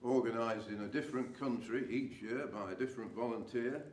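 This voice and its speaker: A man's voice: medium pitch, a little fast. Man delivering a report in a roomy hall. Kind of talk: prepared meeting speech. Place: echoey hotel meeting room. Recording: echoey room, distant mic, faint noise.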